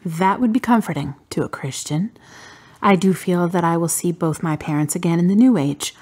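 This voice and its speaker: A woman acting the part of a guarded, wry daughter in grief in a radio drama, her delivery measured and dry, her voice husky and breathy. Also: fairly low pitch, darkish tone. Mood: composed, reserved.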